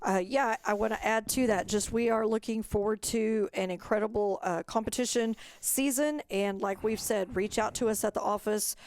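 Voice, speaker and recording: A woman's voice: medium pitch, fairly even intonation, moderate pace, few pauses, a little mellow. A woman speaking as a webinar panelist, making an informal announcement.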